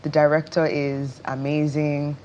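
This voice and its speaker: Actress as interview guest: woman, Nigerian accent, deep register, relaxed casual talk, slight background noise. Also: measured pace.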